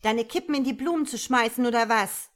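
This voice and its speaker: A woman voicing a nagging, foul-mouthed woman, sharp and demanding, in an audiobook. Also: sits high, big pitch swings, measured pace, voice pushed up, exaggerated voice. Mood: furious. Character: shrill, nagging, foul-mouthed woman squabbling with her lover.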